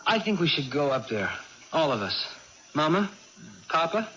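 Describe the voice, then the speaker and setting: A young farm son in an old radio drama, blunt and reluctant. His voice is bright, forceful and fairly high, swinging with lively inflection. The recording is dull. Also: moderate pace, faint music behind. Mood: worried. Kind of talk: period radio drama acting.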